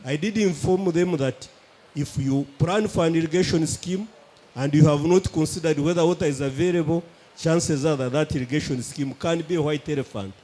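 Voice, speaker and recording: A man from Uganda, a government water official, giving measured, methodical remarks on a conference panel. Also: on the high side, warm tone. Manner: measured, methodical, lists points.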